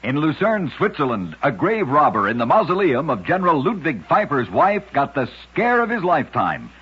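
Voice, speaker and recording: A man narrating strange true stories in a scripted old-time radio style, with a dramatic, measured delivery. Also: fairly high pitch, sing-song, husky. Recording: dull, lo-fi old radio recording.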